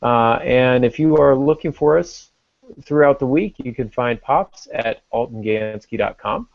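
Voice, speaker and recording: A man's voice: medium pitch, unhurried, gravelly, no echo. A man hosting a podcast in an informal, conversational way. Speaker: podcast host. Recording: dull lo-fi audio.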